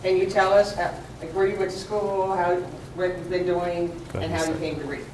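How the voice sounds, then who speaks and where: A woman hosts a community event and asks her guests questions in an informal, friendly way. Her voice sits a little low. She is picked up from far off in a very echoey room, with noise behind her. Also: level tone, normal speed, darkish tone. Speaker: host.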